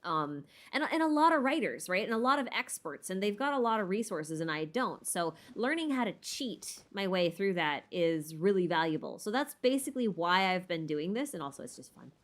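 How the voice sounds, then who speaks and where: A woman's voice: medium pitch, big pitch swings, fairly quick, few pauses. A woman streamer casually answering viewer questions unscripted, thinking aloud in a rambling, self-deprecating way.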